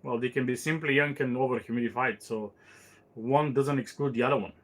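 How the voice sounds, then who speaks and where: A man's voice: medium pitch, fairly even intonation, brisk, a bit bright. Meandering, thoughtful podcast host, man. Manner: thoughtful, meandering, with frequent fillers and restarts. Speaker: podcast host answering listener questions. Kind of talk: casual chat.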